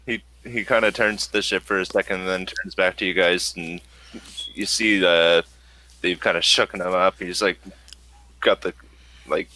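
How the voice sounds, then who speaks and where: Game master, male, low bright voice, halting improvised narration in a dry-sounding space. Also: steady tone, dry recording, low-quality recording. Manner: halting, searching for words.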